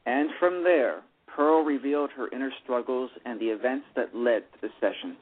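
A man narrating a radio play, reading haltingly with frequent restarts. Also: medium pitch. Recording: tinny phone-line radio broadcast.

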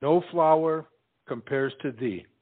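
A tongue-tied, slow-witted suitor in a period comedy, acted by a man, declaiming love lines earnestly. He speaks slowly and haltingly in a slightly high voice, on a muffled stage recording. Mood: earnest wooing. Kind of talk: comic period stage play acting.

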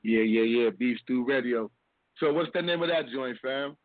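A man's voice: mid-range voice, normal speed, dry recording, soft background music. An American man hosting a casual hip hop radio interview, slangy and given to repeating his phrases. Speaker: radio show host. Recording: narrow phone-like radio sound, dry.

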